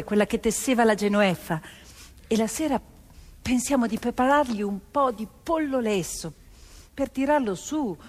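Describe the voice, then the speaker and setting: A woman playing a 1940s Italian partisan farm woman in a theatrical narrative monologue, telling the story deliberately, phrase by phrase. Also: mid-range voice, close to an everyday voice. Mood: tender. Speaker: stage actor and storyteller. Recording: long hall reverb, music underneath.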